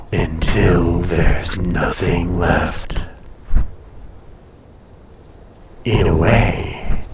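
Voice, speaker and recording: A man playing an ancient dragon familiar in an intimate roleplay audio, tender, possessive and teasing, soothing and often dropping to a breathy murmur. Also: low voice, reverberant, far from the mic. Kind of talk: intimate roleplay audio drama, personal attention.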